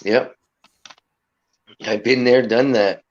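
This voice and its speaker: A man with a raspy voice hosting a casual livestream chat, rambling earnestly about energy, respect and nature. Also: medium pitch, steady tone, slow pace, dull audio. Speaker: livestream host.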